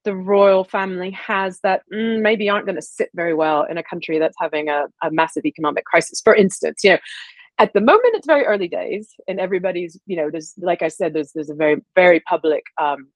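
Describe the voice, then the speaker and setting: A woman's voice: on the low side, fairly quick, no room sound. Thoughtful woman with a dry close sound. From American South. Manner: thoughtful, many fillers and restarts. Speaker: guest historian. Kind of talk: casual interview conversation.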